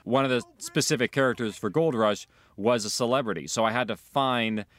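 A man's voice: mid-range voice, fairly quick, fairly bright tone. A man speaking as an audio drama director in an interview, earnestly explaining his work in a conversational way.

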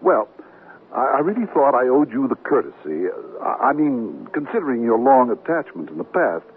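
An actor playing a courteous, chatty gentleman who stammers politely in a 1970s radio comedy. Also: mid-range voice, sing-song, moderate pace. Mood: affable. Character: polite, chatty gentleman named Tom, fond of 'old boy'. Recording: tinny, noisy 1979 radio broadcast.

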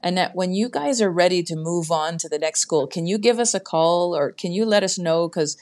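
Low-voiced woman podcast host. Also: moderate pace, darkish tone. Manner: enthusiastic, asks long, winding questions. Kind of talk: casual interview conversation.

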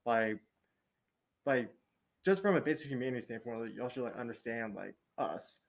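A man's voice: mid-range voice, animated, normal speed, gravelly. A young man talks in a casual group discussion with a mid-range voice. His delivery is informal and opinionated, sprinkled with slang and swearing. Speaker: panel participant.

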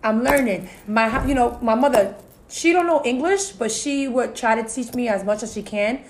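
A woman's voice: fairly high pitch, moderate pace, a little hiss or hum, poor audio. A Puerto Rican-American woman co-hosting a casual discussion, talkative, full of "you know" and "so it's like". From Puerto Rican family, US-raised. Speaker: podcast co-host.

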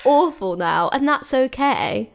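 A woman's voice: high voice, animated, slow pace, gravelly. A woman co-hosting a podcast, talking in a chatty, informal and opinionated way, as if with a friend. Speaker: podcast co-host. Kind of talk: casual chat between friends.

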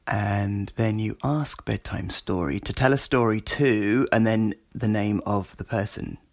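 A man's voice: on the low side, a little slow, gravelly. A man hosting a podcast, giving an informal spoken demonstration. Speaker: podcast host. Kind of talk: informal spoken demo of a voice assistant skill.

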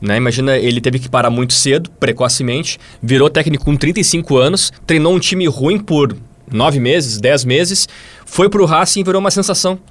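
A man's voice: fairly high pitch, fairly quick, dry recording. A Brazilian man co-hosting a casual football podcast, explaining points and often checking in with his co-hosts. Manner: explanatory, leaning on tag questions. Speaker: podcast co-host.

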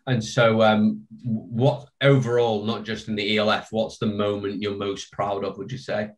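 A man with a fairly low voice hosts the interview, introducing his guest and putting questions to him in a friendly, steady manner. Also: normal speed, poor audio. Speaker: podcast host. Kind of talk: hosting an interview.

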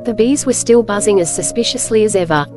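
A woman narrates a children's storybook in a scripted reading. Her voice is of medium pitch, with music playing clearly behind it. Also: quick pace.

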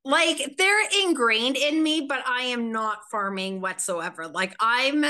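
Bright, chatty woman. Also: a little high, animated, measured pace. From Canada, Ontario. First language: Swiss German. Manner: chatty, rambling, heavy filler words, frequent laughter. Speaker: guest. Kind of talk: casual interview chat.

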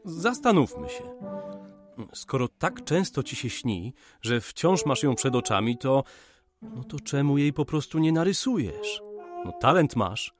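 Man acting a wry, animated colleague, music behind. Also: mid-range voice, a little slow. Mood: encouraging. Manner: wry, matter-of-fact, friendly. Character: practical, teasing male geometer, concerned friend and colleague. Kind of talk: Polish radio play acting.

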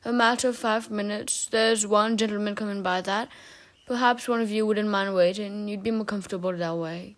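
A woman voicing a polite male taxi driver in an audiobook, with a soft Devon accent. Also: mid-range voice, fairly even intonation, normal speed, hardly any pauses, close to an everyday voice.

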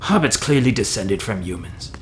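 Man playing a pedantic, lively wraith henchman. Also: lowish voice, moderate pace, a little hiss or hum. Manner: pedantic, argumentative.

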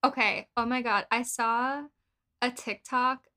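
Young american woman on a chatty podcast, bright forward voice, bit high, lots of likes, kinda halting. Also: fairly even intonation. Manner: informal, halting phrasing with frequent "like". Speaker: podcast co-host. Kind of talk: casual political podcast chat.

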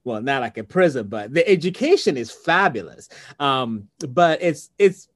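A male interviewer recorded dry and close, speaking at a middle pitch. He guides the guest with leading questions and breaks into short laughing asides. His delivery stays conversational throughout. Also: sing-song, moderate pace, a bit bright, no echo.

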